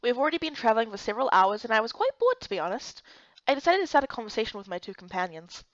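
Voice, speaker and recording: Woman as an excitable, high-voiced young game heroine. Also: very fast, no room sound, highs cut off. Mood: earnest. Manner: expressive, earnest. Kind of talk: dramatic first-person visual novel reading.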